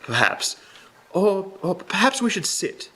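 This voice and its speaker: A man's voice: high-pitched, very animated, measured pace, pushed and bright, full character voice. A man acting as a shy young apprentice in a live radio play, polite and hesitant. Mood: bashful, flustered. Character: shy, awkward, well-mannered young male apprentice.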